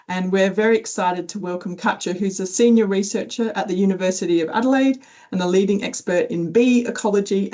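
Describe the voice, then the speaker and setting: A woman's voice: on the low side, darkish tone. A woman hosting a webinar, reading a friendly introduction with occasional ums. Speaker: webinar host, team leader.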